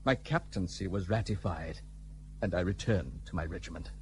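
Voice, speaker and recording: An actor playing an anguished, defensive British army lieutenant in a period radio drama, formal and emphatic, on a noisy recording. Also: male voice, sits low, moderate pace, natural voice. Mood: defensive, grave.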